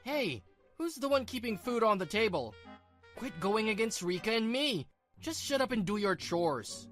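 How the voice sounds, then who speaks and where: An actor playing a domineering, short-tempered working husband in a manga dub, talking in a scornful, blaming way. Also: male voice, high voice, very animated, normal speed, fairly bright tone, soft background music.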